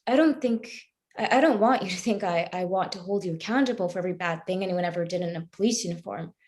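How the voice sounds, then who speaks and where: A woman's voice: on the low side, fast, a little mellow, lightly acted. A woman playing a morally troubled woman who questions a cop earnestly and persistently in a live play reading. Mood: conciliatory.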